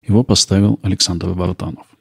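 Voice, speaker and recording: Low gravelly man narrating, very creaky. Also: little pitch movement, fairly quick, heavy background noise. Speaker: podcast host. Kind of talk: scripted documentary narration.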